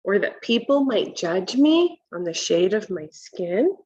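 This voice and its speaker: A woman in her thirties reading a picture book aloud to children, deliberate and instructional, pausing to explain and ask questions. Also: mid-range voice, big pitch swings, darkish tone, breathy edge, muffled recording. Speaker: read-aloud presenter for children. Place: home kitchen, indoors.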